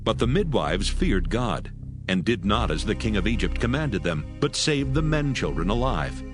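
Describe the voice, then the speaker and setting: A man with a lowish voice narrates scripture in a measured, solemn way. His intonation rises and falls expressively, and faint music plays underneath. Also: very animated.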